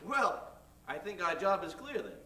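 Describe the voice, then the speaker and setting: A man's voice: high-pitched, big pitch swings, normal speed, pushed and bright, heavily acted. A man playing an eager, chummy detective's sidekick in improvised old-time radio comedy. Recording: echoey live stage, far from mic.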